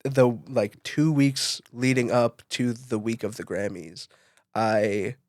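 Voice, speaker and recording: A man's voice: medium pitch, fairly even intonation, slow pace, darkish tone, no room sound. Casual young-sounding male podcaster, close and dry. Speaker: podcast co-host, event photographer. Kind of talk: casual podcast chat, storytelling.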